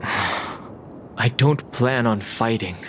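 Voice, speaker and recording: A man's voice: mid-range voice, drawn-out words, background noise. A man voicing a reserved, stoic young spearman in a video game, terse, serious and guarded. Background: music behind the voice.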